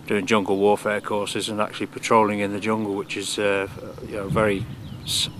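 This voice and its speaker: A man's voice: on the low side, completely flat delivery, a little fast. A man in his forties, a serviceman and charity cyclist, talking hesitantly in an outdoor interview at an airbase. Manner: hesitant, fillers and restarts. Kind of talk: on-camera interview. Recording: outdoor wind and traffic noise.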